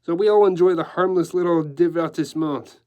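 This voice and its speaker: A man's voice: a little high, fairly quick, warm tone, pitched above the performer's own voice, natural voice. Man playing a flamboyant, gushing society decorator. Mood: amused. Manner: gushing, sprinkles French phrases affectedly. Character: flamboyant male society decorator, sly, gleeful, theatrical.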